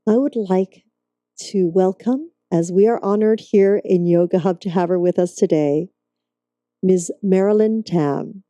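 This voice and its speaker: Mellow-voiced woman hosting a talk show. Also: medium pitch, unhurried, no echo, lo-fi sound. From Hong Kong. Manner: gracious, laughs often. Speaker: host.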